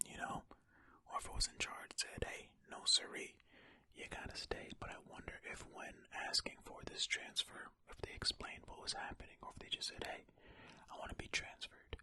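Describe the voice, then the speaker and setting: An ASMR host reading a story in a soft, breathy, unvoiced whisper, close to the mic, with conversational, musing asides. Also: moderate pace. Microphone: close mic, ear to ear.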